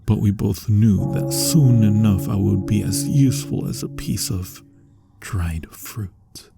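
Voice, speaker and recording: A man voicing an anguished vampire in a hushed, deliberate dramatic ASMR roleplay monologue, with pauses and breathy phrase endings. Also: on the low side, over loud music. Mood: horrified, grim. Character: anguished male vampire, formerly human, confessing to lost love.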